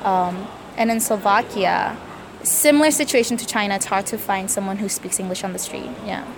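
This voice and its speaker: A woman's voice: medium pitch, normal speed, noisy background. A young woman from Korea, a native Korean speaker, answering interview questions casually, hesitant, with many fillers and self-corrections. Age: young adult. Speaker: interviewee, student.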